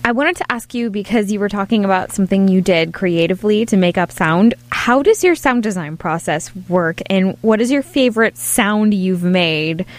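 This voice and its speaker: A woman's voice: medium pitch, moderate pace, hardly any pauses. A woman hosting a podcast, putting conversational interview questions to a guest.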